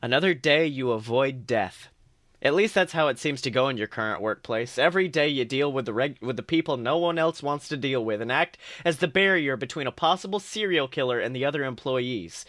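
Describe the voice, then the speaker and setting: Man, amateur story narration, bright and slightly high voice, dry close recording, occasional stumbles. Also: animated, fairly quick, no echo. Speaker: podcast host. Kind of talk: reading fan fiction aloud, second-person narration.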